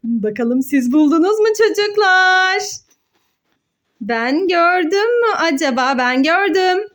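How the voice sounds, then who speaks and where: A woman's voice: very high-pitched, lively intonation, takes it slowly, a bit bright. A woman talking as a mother hosting a children's play video, encouraging and full of questions and exclamations. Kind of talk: playful educational chat with child and viewers.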